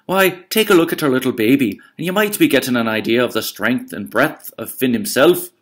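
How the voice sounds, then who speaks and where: A man's voice: medium pitch, animated, brisk, voice pushed up, lightly acted. A man voicing a clever giant's wife who speaks in a sugary, coaxing way. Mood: sweetly coaxing.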